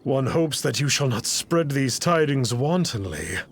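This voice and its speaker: A man's voice: medium pitch, very animated, a little slow, a little hiss or hum. A man voicing a boastful, evasive exorcist in a video game, with a pompous, stilted and formal manner. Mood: uneasy, evasive. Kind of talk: video game character acting.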